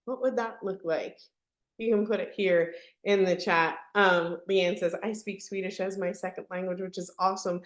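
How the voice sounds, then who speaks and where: A woman's voice: medium pitch, fairly even intonation, normal speed. A woman hosting an online product webinar with an upbeat, chatty sales style, often tagging her points with "right?". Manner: chatty, peppered with "right?" tags. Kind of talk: upbeat live sales presentation. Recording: echoey, roomy sound.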